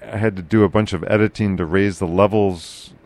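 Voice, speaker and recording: A man hosting a casual local podcast chat, with a loud, carrying voice, talking haltingly with many fillers and restarts. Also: low voice, fairly even intonation, creaky. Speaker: podcast host.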